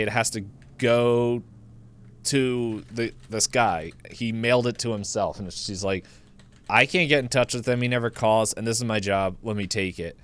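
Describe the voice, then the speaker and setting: A man's voice: mid-range voice, clean tone. A man co-hosting a podcast, giving a casual, rambling, comedic movie recap and acting out the characters' lines in his own words. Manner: laughs through the telling.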